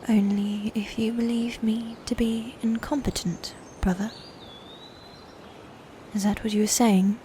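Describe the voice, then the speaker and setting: Woman playing a slow-spoken, proud, sadistic lady knight. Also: a little high, flat delivery, mellow, a little hiss or hum, close to an everyday voice. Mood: wounded, accusing. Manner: theatrical, archaic formal phrasing, slow and deliberate. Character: proud, sadistic female knight, twin sister, playfully cruel and ambitious. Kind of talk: scripted medieval fantasy audio roleplay, ASMR.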